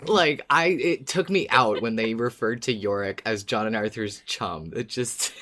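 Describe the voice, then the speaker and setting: The voice sits in a middle pitch and could belong to any sex. It is a podcast co-host, informal and unguarded, going over a favourite show for fellow fans. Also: measured pace, fairly bright tone. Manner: informal, sweary, often laughing. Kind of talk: scripted recap, then casual fan discussion.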